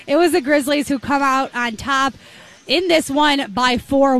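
A woman with a slightly raspy voice hosting a courtside postgame basketball recap in an upbeat, conversational way. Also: sits high. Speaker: courtside sports reporter and host. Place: courtside at an arena.

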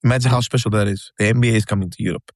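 A man from Greece with a Greek accent, co-hosting a casual sports podcast, talking in an animated, emphatic way and repeating himself for effect. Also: on the low side, racing, no echo, studio quality. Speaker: podcast co-host. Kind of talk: casual chat.

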